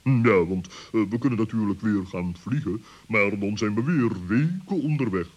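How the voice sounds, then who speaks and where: A man voicing a solemn animal companion of a gnome in a children's radio play, formal and deliberate. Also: lowish voice, lively intonation, breathy edge, lo-fi sound.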